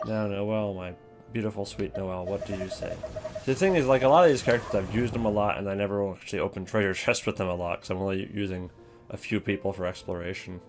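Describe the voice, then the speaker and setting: A man's voice: fairly low pitch, fairly even intonation, fast, faint music behind. A man giving offhand, opinionated casual commentary as a video creator ranking things.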